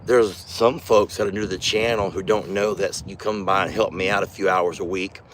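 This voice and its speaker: A hoarse, middle-aged man rambles in a folksy way as he films outdoors on his farm. His voice sits fairly low. A faint hiss of noise stays underneath. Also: fairly even intonation, moderate pace. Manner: folksy, chatty, talks softly to his bull. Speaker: farm vlogger, channel host. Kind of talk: casual outdoor vlog. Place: outdoors on a farm by a bull pen. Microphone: handheld phone camera.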